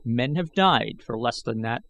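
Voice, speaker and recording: A man's voice: medium pitch, animated, unhurried, gravelly, fairly bright tone. A man voicing a fawning, self-important male major-domo in an adventure storybook. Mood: smug, taunting. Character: smug, fawning male palace major-domo spreading taunts.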